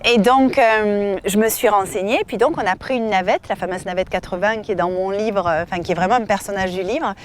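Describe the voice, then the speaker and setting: A woman from southern France, a novelist, telling stories in an interview with lively fillers and restarts. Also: medium pitch, a little fast, few pauses, slight background noise. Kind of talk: casual interview answers. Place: outdoor festival.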